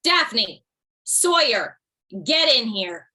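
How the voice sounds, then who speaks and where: A woman's voice: fairly high pitch, big pitch swings, extremely slow, sharp tone, slightly rough, full character voice. A woman playing an overwhelmed, bossy widowed mother with a dry wit in a comedy table read over video call. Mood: exasperated, stern.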